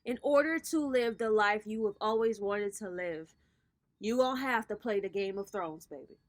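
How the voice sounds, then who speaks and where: A woman's voice: mid-range voice, normal speed. A woman in her thirties doing a casual tarot reading vlog as a spiritual coach, with a sassy, playful way of talking that drops swear words. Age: 30s.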